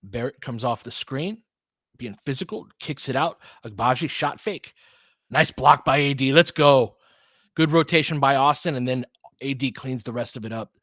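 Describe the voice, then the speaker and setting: A man's voice: mid-range voice, moderate pace, a bit bright, no room sound. A man doing live basketball play-by-play as a partisan fan, in short clipped phrases with casual, slangy exclamations and bits of analysis. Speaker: fan play-by-play commentator and host.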